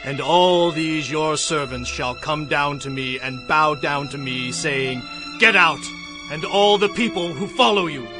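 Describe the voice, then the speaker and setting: A stern Hebrew prophet in a scripture drama, declaiming firmly and solemnly. The voice is a little high, with prominent music underneath. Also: male voice, unhurried. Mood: solemn warning. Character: stern, unyielding Hebrew prophet confronting a king. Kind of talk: dramatized audio Bible acting.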